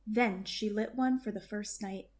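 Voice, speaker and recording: Female narrator for children's picture book: deliberate, expressive, very slow delivery. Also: mid-range voice. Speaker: storybook narrator. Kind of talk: scripted children's story narration.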